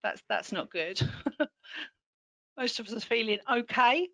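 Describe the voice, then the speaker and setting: Woman trainer w a croaky scratchy throat, upbeat n encouraging, explains stuff clearly. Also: a little high, expressive, a little slow, a bit bright. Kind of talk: online teaching session with polls.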